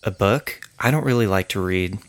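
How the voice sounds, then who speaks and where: A man voicing a withdrawn, sad young boy who answers quietly. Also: fairly low pitch, normal speed, no room sound, lightly acted. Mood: subdued. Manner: quiet, subdued replies.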